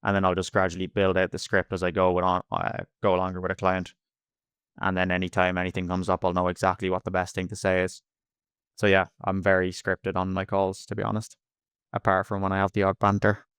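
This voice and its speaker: A man's voice: sits low, monotone, a little fast, a bit bright, smooth, no room sound, studio quality. An Irish man giving casual interview answers in a plain-spoken, slangy, blunt way. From Ireland. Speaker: podcast guest, freelance cold caller.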